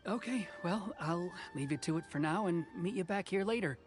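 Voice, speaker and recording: A man's voice: high voice, expressive, normal speed. Hesitant, cautious young man. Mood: friendly. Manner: hesitant, slightly stammering. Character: cautious, polite, hesitant young male boat helper. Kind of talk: video game character acting. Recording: faint music behind the voice.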